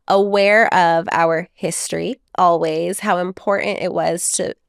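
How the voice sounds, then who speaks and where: A woman guest speaks in a measured, reflective storytelling way, laughing lightly here and there. Her voice sits at a middle pitch. The recording is close and dry, with no room sound. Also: clear, resonant tone, dry recording. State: married mother. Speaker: guest author. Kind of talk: conversational podcast interview.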